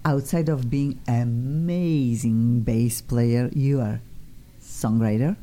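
A woman's voice: very deep voice, slow pace, warm tone. A woman with a Polish accent hosting a talk radio show in a casual, effusive, chatty way. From Poland. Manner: effusive, gushing, informal.

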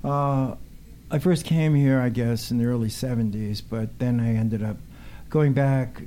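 A man's voice: mid-range voice, fairly even intonation, measured pace, warm tone, slight background noise. An older man from Brooklyn chatting casually and reflectively as an interview guest, rambling a little. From Brooklyn, New York. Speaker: studio guest, musician.

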